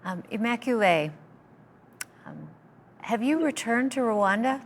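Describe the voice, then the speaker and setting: A woman moderating a post-show talkback on a video call, asking questions in a gentle, appreciative way. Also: a little high, lively intonation, unhurried. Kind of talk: interview questions.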